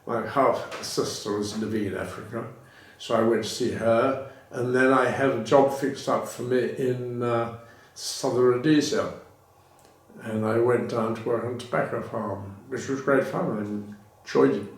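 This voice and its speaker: A man's voice: medium pitch, fairly even intonation, unhurried, distant mic. An older British man reminisces for an interviewer, wandering between anecdotes, correcting himself as he goes and chuckling now and then. His voice sits a little back from the mic, in a room with a strong echo. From Britain. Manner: rambling, self-correcting, laughs between anecdotes.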